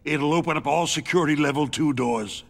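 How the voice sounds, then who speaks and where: A man voicing a wounded, cynical arms company executive in a video game, with a creaky voice and a weary, condescending way of explaining things. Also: fairly high pitch, a little fast. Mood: weary, curt. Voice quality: creaky, gravelly.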